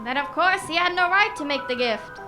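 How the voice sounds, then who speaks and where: A woman's voice: sits high, expressive, a little fast, music in the background. A woman playing an eager, friendly radio announcer who prompts a storyteller in an old-time style radio drama. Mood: eager, curious. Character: Mister Foreman, eager, friendly male radio host.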